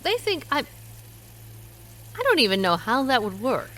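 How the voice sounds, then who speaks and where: A wry amateur sleuth. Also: female voice, a little high, very animated, takes it slowly, fairly bright tone, a little hiss or hum, full character voice. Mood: anxious. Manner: earnest, wry first-person narration. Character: worried amateur detective woman, diner owner. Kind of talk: radio drama narration and acting.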